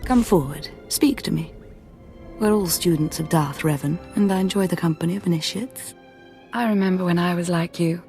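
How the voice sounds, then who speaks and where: An actress playing a serene, zealous cult assassin in a video game, composed yet fervent. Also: female voice, fairly low pitch, normal speed, a little mellow, soft background music, natural voice. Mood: serene menace. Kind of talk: scripted video game dialogue.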